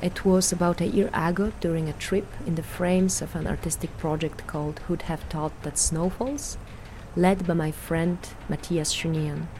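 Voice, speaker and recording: A woman from Poland with a Polish accent, giving a measured, earnest prepared statement as an artist and vocal performer. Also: on the low side, fairly even intonation, a little mellow, a little hiss or hum, low-quality recording.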